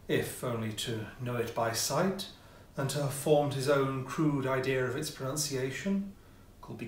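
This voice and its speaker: A man reading a classic ghost story as scripted narration, with measured, theatrical phrasing and pauses. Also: medium pitch. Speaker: audiobook reader, amateur player. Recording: roomy echo, distant mic, faint noise.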